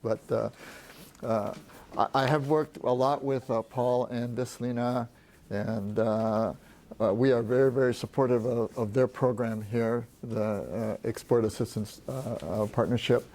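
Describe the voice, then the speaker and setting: A soft-spoken man presenting at a business workshop, talking in a halting way with many restarts. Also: mid-range voice, fairly even intonation. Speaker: port trade representative, presenter.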